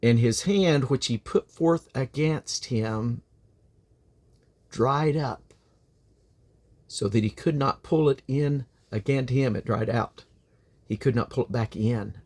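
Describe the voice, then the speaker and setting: A man's voice: mid-range voice, takes it slowly, long pauses, a little mellow. A man reading the Bible aloud as a dramatic narrator, declaiming quoted speech with emphasis. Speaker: Bible reader.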